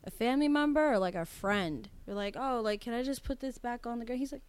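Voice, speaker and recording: A young adult woman co-hosting a podcast, talking in a chatty, animated way with plenty of swearing. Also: on the high side, clean tone. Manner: chatty, animated, profane, quick to laugh. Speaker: podcast co-host. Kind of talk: casual chat.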